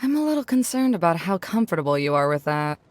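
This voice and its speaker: A woman's voice: fairly low pitch, sing-song, fast, dry recording. A dry, cutting young woman. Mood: sardonic. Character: sardonic, blunt young woman. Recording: game cutscene with music behind.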